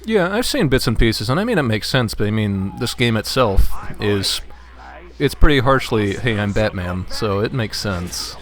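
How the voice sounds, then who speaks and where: A man's voice: lowish voice, lively intonation, moderate pace, crowd noise. A man joining as guest co-commentator, chatting casually about games in a jokey, anecdotal way with hesitant phrasing. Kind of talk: casual game chat. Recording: faint background noise.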